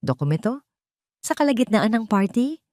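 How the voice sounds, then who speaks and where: A woman's voice: fairly high pitch, very animated, normal speed, a little mellow, no room sound, studio quality, pitched above the performer's own voice. A woman voicing a polite young wife and mother who speaks respectfully. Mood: worried.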